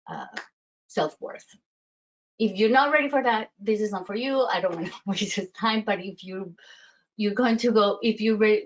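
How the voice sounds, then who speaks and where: Woman coach, Colombian accent, mid pitch, halting and filler-heavy, slightly dull lo-fi audio. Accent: Colombian Spanish. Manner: halting, many fillers and restarts. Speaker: self-worth coach. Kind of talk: webinar presentation.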